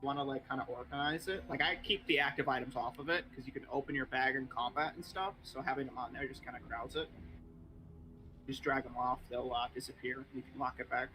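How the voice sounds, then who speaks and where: A man's voice: mid-range voice, quick pace, over music, low-quality recording. A man hosting a stream, casually walking a friend through a game with lots of fillers. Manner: relaxed, rambling, lots of fillers. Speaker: streamer host. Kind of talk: casual gameplay explanation.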